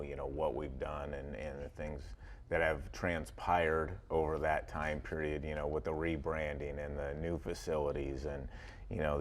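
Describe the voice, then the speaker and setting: A man with an extremely deep voice talks as a football coach in a studio interview. He is conversational and rambling, leaning often on filler phrases. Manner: rambling, frequent "you know".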